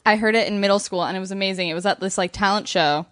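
A woman's voice: mid-range voice, very fast, dry recording, studio quality. A young woman from Argentina telling a story in casual chat on a podcast, with lots of fillers. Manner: chatty, many fillers, animated storytelling.

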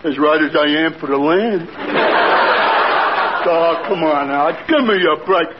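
An actor playing a dim-witted, good-natured teller of tall tales in old-time radio comedy, earnest and folksy. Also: male voice, on the high side, measured pace, natural voice. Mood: earnest, hopeful. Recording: muffled noisy vintage radio recording.

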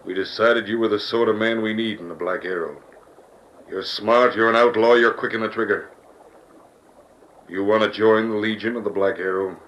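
Low, menacing outlaw boss played by an actor. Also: male voice, steady tone, moderate pace, a bit bright, dull audio, lightly acted. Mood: commanding. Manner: curt, menacing. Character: cold, commanding masked outlaw boss issuing threats. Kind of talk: old-time radio drama acting. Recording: noisy vintage radio recording.

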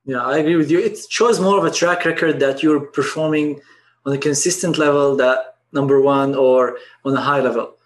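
A man hosting an interview channel, asking questions in a friendly, brisk way. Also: mid-range voice, steady tone. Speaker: podcast host, interviewer. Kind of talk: scripted-sounding interview questions.

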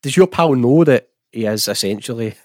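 A man's voice: mid-range voice, animated, moderate pace, darkish tone, dry recording, studio quality. A man with a Scottish accent hosting a podcast, chatting casually about football and putting questions to his guest. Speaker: podcast host. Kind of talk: casual football chat interview.

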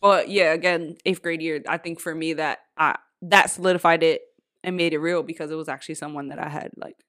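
A woman's voice: deep voice, steady tone, brisk, a bit bright. Blunt, salty young woman co-host. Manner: blunt and profane, with lots of fillers and quoted reactions. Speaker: podcast co-host. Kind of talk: candid casual chat.

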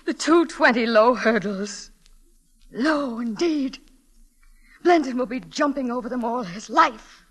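A woman's voice: fairly high pitch, lively intonation, a little slow, slightly rough. A woman playing a strict but caring schoolteacher in a 1940s radio drama, speaking earnestly with formal emphasis. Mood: bitter. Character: stern but caring, principled female schoolteacher.

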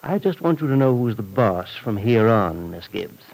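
A shady hired fixer in an old radio drama, with a lowish, very creaky voice. He is sardonic and domineering, laying out threats with deliberate menace. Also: big pitch swings, a little mellow, full character voice, rough recording. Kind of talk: vintage radio serial acting. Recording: vintage radio drama recording.

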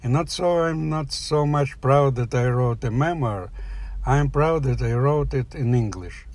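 An older man from the former Soviet Union, speaking English with a Russian accent, telling a personal story in halting, plain phrases. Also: mid-range voice, darkish tone. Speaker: guest storyteller. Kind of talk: personal story told aloud.

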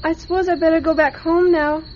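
A woman's voice: extremely high pitch, steady tone, a little fast. A woman playing an earnest, curious nine-year-old farm girl in a radio drama, with a childlike, hesitant, pleading manner. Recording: dull radio recording, faint noise.

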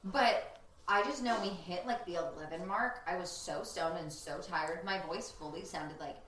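A woman's voice: lowish voice, normal speed, a bit bright. A young woman co-hosting a podcast, chatting casually and animatedly and filling her talk with "like". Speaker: podcast co-host. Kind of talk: casual chat. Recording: roomy echo.

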